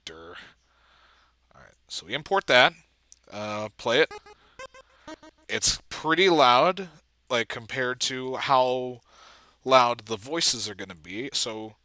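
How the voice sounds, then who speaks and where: A man giving a casual walkthrough as an amateur video editor, thinking aloud haltingly and trailing off. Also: medium pitch, lively intonation, sharp tone, no room sound. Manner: halting, trailing off mid-thought.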